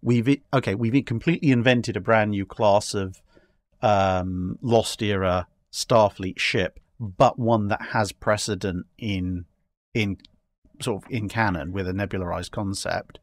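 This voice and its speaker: A man in a casual discussion, explaining his speculative ideas in a halting way with repeated words. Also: on the low side, fairly even intonation, no echo. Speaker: podcast guest.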